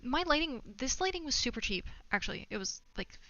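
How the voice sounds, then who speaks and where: A woman's voice: sits high, big pitch swings, a little fast, sharp tone, no echo, lo-fi sound. A woman live streamer chatting casually to her viewers while gaming, rambling and thinking aloud.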